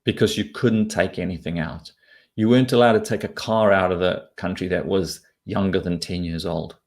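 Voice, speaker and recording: A man's voice: sits low, fairly even intonation, normal speed, darkish tone. A man from southern Africa explaining his opinions as an interview guest, talking bluntly and informally with casual swearing. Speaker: interview guest, investment commentator.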